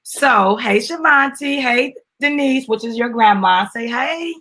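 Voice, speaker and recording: A woman's voice: fairly high pitch, animated, measured pace, pushed and bright. An American woman chatting informally to viewers as she hosts a casual live video and promotes a children's book. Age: adult, mother of a young child. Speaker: live stream host, business founder.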